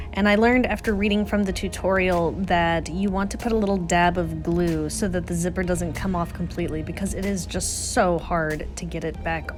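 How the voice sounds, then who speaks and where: A woman giving a casual, chatty and self-deprecating voice-over for a craft tutorial. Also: medium pitch. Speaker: craft vlogger, host. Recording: music under the voice. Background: music.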